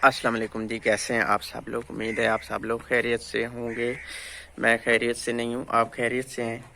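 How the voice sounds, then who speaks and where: Gravelly, flat-voiced man with a cold. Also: mid-range voice, a little fast, slight background noise. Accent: Pakistani Urdu. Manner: flat, plain greeting to viewers. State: has a cold and sore throat.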